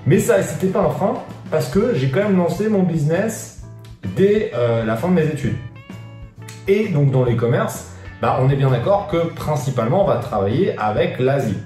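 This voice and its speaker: A man's voice: a little high, expressive, moderate pace, warm tone. A man talking casually and straight to the viewer, slangy and full of fillers, giving business advice. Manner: slangy, informal, addresses viewer as tu, many fillers. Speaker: online business coach. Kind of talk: casual direct-to-camera advice video. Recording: echoey room with faint guitar music.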